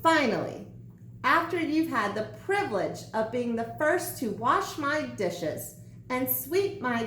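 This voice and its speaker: A woman's voice: sits high, heavily acted. A woman voicing a pushy, crowing female witch in a children's storybook, demanding and grandly commanding. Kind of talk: children's storybook read-aloud. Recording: echoey room, faint background noise.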